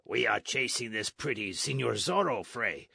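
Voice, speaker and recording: A man voicing a gruff, blustering army sergeant who makes loud demands. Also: mid-range voice, lively intonation, normal speed, no room sound, clean studio sound, full character voice.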